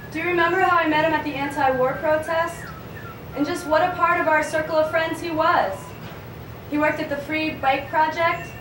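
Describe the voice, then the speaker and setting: Female puppet show performer, high voice, scripted monologue, recorded from afar. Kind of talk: scripted live theatrical monologue. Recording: roomy, distant live recording with noise.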